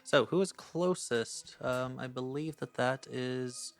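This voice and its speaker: A man's voice: mid-range voice, slow, faint music behind. A man acting as game master, casually narrating moves and rules in a hesitant, apologetic, think-aloud manner.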